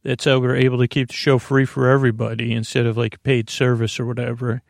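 A man hosting a bedtime podcast in a slow, creaky voice, wandering through gentle tangents and restarting his sentences. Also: mid-range voice, little pitch movement, no room sound, studio quality. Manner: slow, meandering, self-interrupting, gently joking. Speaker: sleep podcast host. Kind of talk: rambling bedtime monologue.

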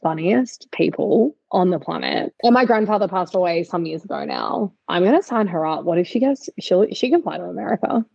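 A woman with an Australian accent co-hosting a casual pop culture chat in a chatty, expressive, informal way, with a husky, creaky voice. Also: lowish voice, a little fast, hardly any pauses, no room sound, highs cut off. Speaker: podcast co-host.

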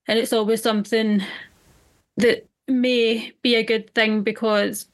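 A woman's voice: mid-range voice, no room sound. Chatty woman hosting. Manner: chatty, laughs easily. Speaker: podcast host. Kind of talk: casual interview conversation.

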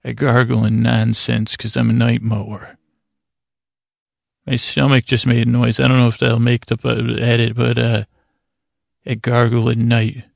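Guy w creaky fry voice, mid pitch, dry sound, rambly sleep podcast host, lots of pauses, soothing. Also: monotone, dry recording. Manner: meandering, digressive, halting with pauses; soothing, lulling delivery.

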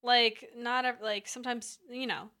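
Blunt, slightly high woman co-host. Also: little pitch movement, measured pace, pushed and bright. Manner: blunt, filler-heavy opinions. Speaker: podcast co-host. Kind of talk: casual chat.

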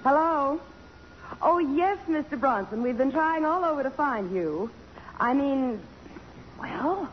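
Casting: blunt, wry female secretary, higher-voiced and very animated, old radio drama with long reverb and muffled sound. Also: slow pace, long pauses, a little hiss or hum. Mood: flustered. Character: wisecracking, brisk, loyal female secretary and right arm. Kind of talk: 1950s radio drama acting.

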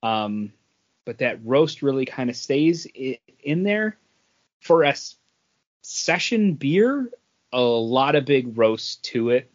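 A man co-hosting a casual podcast, reviewing in a halting, measured way. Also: mid-range voice, sing-song. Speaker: podcast co-host. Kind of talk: casual beer review chat. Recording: dull lo-fi audio.

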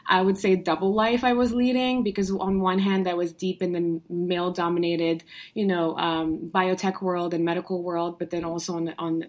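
Chatty upbeat woman, lowish voice. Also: level tone, moderate pace, hardly any pauses. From Morocco. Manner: upbeat, chatty, with frequent fillers and restarts. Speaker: guest entrepreneur. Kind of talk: casual conversational storytelling.

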